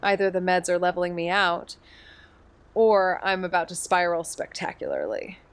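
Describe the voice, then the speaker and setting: Woman voicing an edgy young lady sleuth, wry and impatient, smooth clear voice. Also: fairly low pitch, lively intonation, moderate pace, lightly acted. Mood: restless, wry. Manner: wry, restless, blunt. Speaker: investigator and narrator. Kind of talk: audio drama acting.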